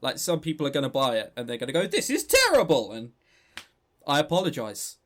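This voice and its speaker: A man's voice: on the high side, huge pitch swings, fairly quick, fairly bright tone. Southern english guy doing gaming podcast news, swoops all over, sardonic and sweary. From southern England. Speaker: podcast co-host. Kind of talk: casual gaming news chat.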